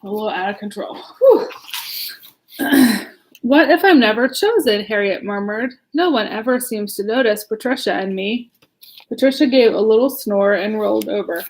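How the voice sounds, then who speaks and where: A woman's voice: mid-range voice, normal speed, poor audio. A woman casually reading a children's story at home in a chatty, giggly manner, often breaking off to talk to her listeners.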